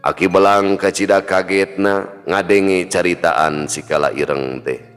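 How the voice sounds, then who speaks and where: Male storyteller, Sundanese accent: low register, scripted folk-tale narration over music. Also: steady tone, unhurried, fairly bright tone. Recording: long reverb, music behind.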